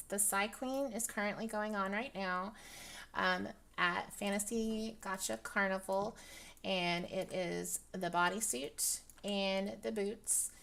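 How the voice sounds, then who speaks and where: A young woman with a dry, raspy voice, chatting casually through a product review with lots of ums and restarts. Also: mid-range voice, fairly bright tone. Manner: rambling, many ums, laughs often. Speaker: virtual-world fashion vlogger.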